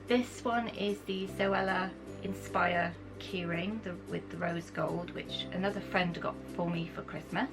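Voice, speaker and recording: A young British woman, croaky from a cold, chatting enthusiastically as she shows off her things to camera. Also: on the low side, steady tone, measured pace, few pauses, poor audio. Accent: British English. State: croaky from a cold, sore throat. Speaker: vlogger. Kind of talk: casual chat to camera. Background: background music.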